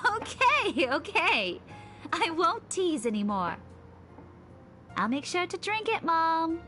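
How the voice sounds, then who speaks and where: A young schoolteacher in a visual novel, playful and stubborn. Her voice is high and swings in pitch, with breezy teasing throughout and faint music underneath. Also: very animated, slow, bright, forceful tone, exaggerated voice.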